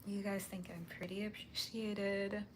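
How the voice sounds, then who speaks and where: A young woman livestreaming while she animates, chatting casually and answering viewer comments in a soft, sweet-toned voice. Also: medium pitch, little pitch movement, a little slow, a little hiss or hum.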